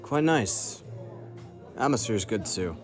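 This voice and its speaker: A man acting as a hardened covert operative with a low voice. He is guarded and resolute, talking in short deadpan phrases like a spy-drama veteran. Music plays clearly underneath. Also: slow. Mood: dry, confident. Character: guarded, battle-hardened male syndicate operative, dry and resolute. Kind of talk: dramatic audio roleplay acting.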